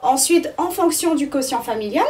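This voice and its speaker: A woman's voice: high-pitched, expressive, moderate pace, darkish tone, distant mic. A woman answering interview questions as the director of an arts school, explaining things phrase by phrase with hesitations.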